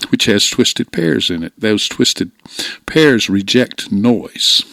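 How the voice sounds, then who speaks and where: An older man giving informal instructional narration in a plain-spoken, unhurried way, close to a desktop microphone. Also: medium pitch, vocal fry, fairly bright tone, no echo. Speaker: radio hobbyist presenter. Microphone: close desktop microphone, voice-over.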